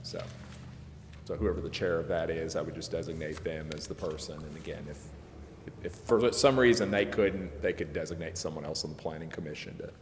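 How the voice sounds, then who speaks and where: A man's voice: extremely low pitch, a little fast. An American man, a lawyer advising a council, explaining informally with a hedging, deferential manner. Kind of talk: informal explanation at public meeting. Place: large indoor council chamber. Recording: very echoey noisy chamber.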